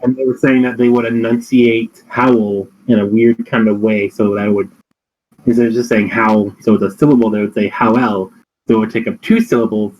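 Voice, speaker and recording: A man's voice: on the low side, a little mellow. Young man telling stories on dull audio. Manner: filler-heavy storytelling, laughs often. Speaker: podcast host. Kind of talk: casual chat.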